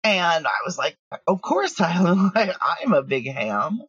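A woman's voice: lowish voice, very animated, a little slow, a bit bright, husky, no echo, highs cut off. A woman talking casually as an interview guest, recorded remotely from home. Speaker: audio drama writer and producer, interview guest.

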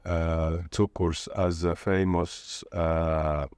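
A man with a Latvian accent, a native Latvian speaker, talking reflectively in an interview and haltingly searching for words. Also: deep voice, level tone, darkish tone, smooth, dry recording. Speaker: interviewee, literature teacher and playwright.

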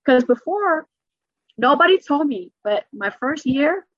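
A middle-aged woman with a New York accent, a stand-up comedian chatting in an interview and telling stories quickly and animatedly. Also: high voice, dry recording, muffled recording. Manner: fast, animated storytelling with laughs. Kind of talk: casual interview chat.

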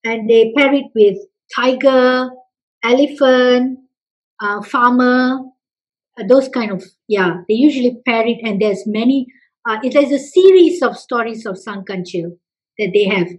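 A woman from Malaysia, answering interview questions with many pauses and restarts. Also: fairly high pitch, poor audio. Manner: hesitant, many fillers and restarts. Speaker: festival representative guest.

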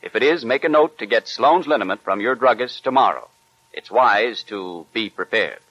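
A man's voice: fairly low pitch, expressive, moderate pace, fairly bright tone, slightly rough, dry recording, poor audio. A man reading a scripted advertisement as an announcer in 1940s radio style.

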